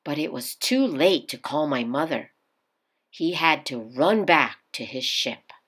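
A woman reads a children's picture book aloud as a storyteller, with deliberate, emphatic phrasing. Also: deep voice, lively intonation, a bit bright, slightly rough. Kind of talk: expressive children's read-aloud narration.

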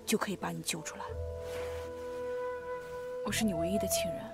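Audio drama actor as young male priest: gentle, protective, hugely varied pitch, heavy music bed. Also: huge pitch swings, fairly quick, vocal fry. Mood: protective, earnest. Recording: loud music bed under the voice.

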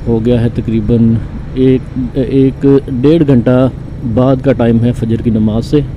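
A man from Pakistan, calmly narrating a motorcycle ride through his city with many pauses and fillers. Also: medium pitch. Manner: calm, unhurried, pausing with frequent fillers. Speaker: motorcycle vlogger. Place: on a motorcycle on a city road, outdoors. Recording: dull lo-fi sound with road and traffic noise.

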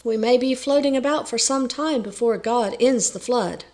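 A woman voicing a scheming man in a storybook, giving instructions in a solemn, deliberate, ominous manner. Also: fairly high pitch, darkish tone, close to an everyday voice.

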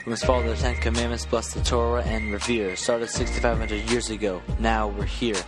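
A man's voice: fairly low pitch, flat delivery, a little fast. A man narrating in rhymed spoken word, with a rhythmic, declamatory delivery. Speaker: narrator and interviewer. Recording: loud music behind.

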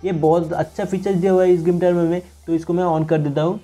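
A man's voice: high-pitched, little pitch movement, fast, dark tone. A young man narrating a casual phone tutorial as a tech channel host, explaining step by step in an instructional manner. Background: music.

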